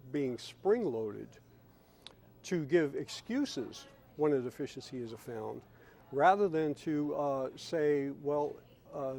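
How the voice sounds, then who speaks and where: A middle-aged or older man giving an unscripted news interview in halting, wordy phrases. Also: mid-range voice, a little mellow. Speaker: aviation whistleblower advocate, interviewee.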